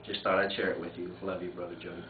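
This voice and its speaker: Male family storyteller, lowish voice, laughs easily, echoey lo-fi room sound. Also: rapid-fire. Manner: animated, often breaking into laughter. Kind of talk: informal storytelling and reading a letter aloud among family. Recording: muffled phone-like audio, echoey, distant, noisy room.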